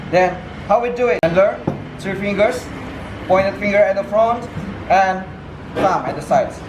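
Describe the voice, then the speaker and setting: A man's voice: high-pitched, a little slow, noisy background. A man teaching a cooking class, speaking in simple, clipped English with patient instructions. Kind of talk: giving cooking instructions to a group. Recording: echoey room with music and crowd chatter.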